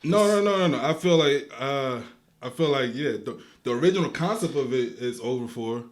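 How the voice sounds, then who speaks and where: A man's voice: mid-range voice, animated, moderate pace. A young man from Guatemala, talking casually as an interview guest, with loose slangy speech full of restarts and fillers. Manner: laid-back slangy talk, many restarts and fillers. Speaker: guest rapper.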